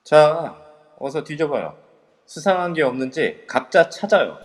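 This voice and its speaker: A man's voice: a little high, very animated, unhurried. A man playing a brash young man leading a ghost-hunting group, commanding and cocky, in an amateur audio drama. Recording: long reverb over a voice app.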